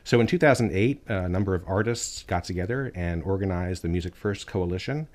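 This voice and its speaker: A man with a very deep, low voice speaks as an interview guest. He argues earnestly and with emphasis. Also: a little mellow. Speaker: guest, artists' rights advocate. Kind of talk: interview answers.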